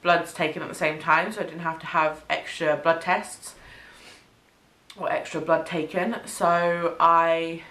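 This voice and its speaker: Sniffly chatty young woman, low voice. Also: level tone, unhurried. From United Kingdom. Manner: chatty, rambling, with frequent ums and soft laughs. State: sniffly with a cold. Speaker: vlogger. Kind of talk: casual pregnancy update vlog. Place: small bedroom or home studio, indoors. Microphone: camera mic, head-and-shoulders framing. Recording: echoey room.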